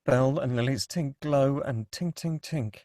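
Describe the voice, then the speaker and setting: A man's voice: medium pitch, moderate pace, dark tone, dry recording, clean studio sound. A man reading scripted stage directions as the narrator of a screenplay table read.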